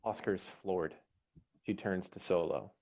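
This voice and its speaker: A man's voice: deep voice, a little slow, a little mellow, a little hiss or hum. A man reading screenplay stage directions as narrator at an online table read. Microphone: video call. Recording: dull lo-fi online call audio.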